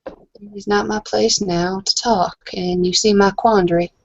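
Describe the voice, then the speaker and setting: A woman roleplaying a tipsy, evasive, troubled young woman in a tabletop game, halting and apologetic. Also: medium pitch, steady tone, creaky, muffled recording. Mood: low, troubled.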